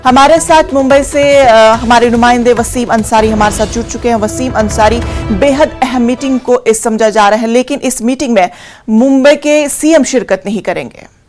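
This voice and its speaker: A woman news anchor presents live in a fairly high voice. Music plays clearly behind her as she delivers the bulletin. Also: moderate pace, darkish tone.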